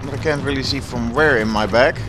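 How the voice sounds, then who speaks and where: A Dutch man with a Dutch accent, a native Dutch speaker, giving relaxed, chatty commentary over gameplay and sometimes searching for words. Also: mid-range voice, brisk, over music. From Netherlands. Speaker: gaming channel host.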